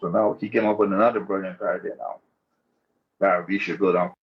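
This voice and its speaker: Low-voiced Trinidadian man, informal and chatty. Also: fairly even intonation, a little fast. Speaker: guest investor caller. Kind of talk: casual account of personal experience. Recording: dull, lo-fi call audio.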